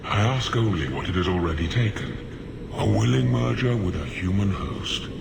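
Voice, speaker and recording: An actor playing a languid, sly sloth demon in a video game, drawling smugly as it bargains. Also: male voice, on the low side, expressive, creaky. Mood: smooth persuasion. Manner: slow, drawling, smugly unhurried. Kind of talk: video game cutscene acting. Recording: very noisy game capture with background ambience.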